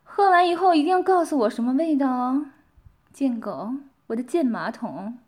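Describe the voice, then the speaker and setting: A woman playing a domineering, scornful mistress who gives commands and taunts, sometimes dropping to breathy whispers. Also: high voice, expressive, normal speed, darkish tone. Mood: commanding. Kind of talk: roleplay audio drama.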